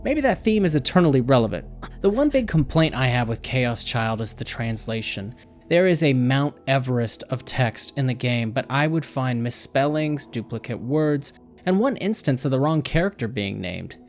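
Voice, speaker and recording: A man narrates a video game review from a script. His voice sits at a medium pitch for a man. Music plays behind his words. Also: animated, normal speed. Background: background music.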